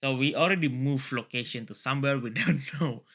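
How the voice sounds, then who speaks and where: Male, very bright forceful voice, read-along host, casual, repetitive. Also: mid-range voice, a little fast. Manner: rambling, repeats phrases. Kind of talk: book reading mixed with casual commentary.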